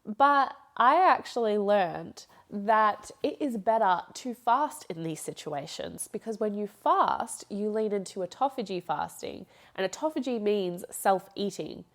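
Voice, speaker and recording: A young woman talks casually through her solo podcast, her voice nasal from a blocked nose. She rambles and corrects herself often and laughs between thoughts. Her pitch sits in a middle register. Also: animated, measured pace, hardly any pauses. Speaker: podcast host.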